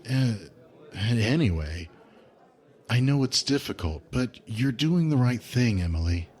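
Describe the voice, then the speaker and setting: An actor playing a cautious, protective male ally in an audio drama, with a gentle, measured and concerned manner. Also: medium pitch, animated, lightly acted.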